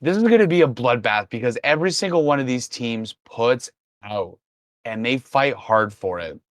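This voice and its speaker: A man's voice: medium pitch, lively intonation, moderate pace, no echo, clean studio sound. A man who has lost his voice, co-hosting a casual sports podcast and rambling through a list of teams while correcting himself. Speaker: podcast co-host.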